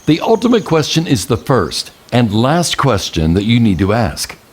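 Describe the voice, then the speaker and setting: An actor playing an older male mentor and army general in an audio drama, speaking in a measured, patient, enigmatic way. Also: medium pitch, wildly swooping pitch, slight background noise. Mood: patient, didactic.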